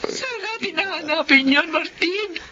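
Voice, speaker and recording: A woman's voice: very high-pitched, lively intonation, unhurried, bright, forceful tone, slight background noise, exaggerated voice. An actress playing a young mother in a radio drama, speaking in an emotional, pleading, tearful way. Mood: anxious. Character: anxious young mother and wife. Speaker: mother narrating her own story. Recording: dull lo-fi radio recording with music. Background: music.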